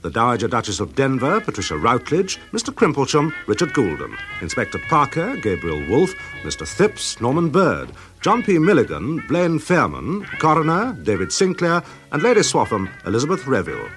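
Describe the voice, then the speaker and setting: A man's voice: mid-range voice, wildly swooping pitch, normal speed, hardly any pauses, a bit bright. A man with a British accent working as a radio announcer, reading scripted closing credits. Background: music.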